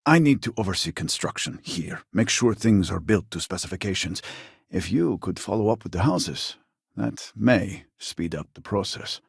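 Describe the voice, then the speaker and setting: An actor playing a scholarly, earnest and weary researcher in a video game, speaking formally and precisely with a husky voice. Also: male voice, low voice, animated, no room sound, clean studio sound, exaggerated voice. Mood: measured, formal. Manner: formal, measured, articulate, precise wording. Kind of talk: video game character dialogue.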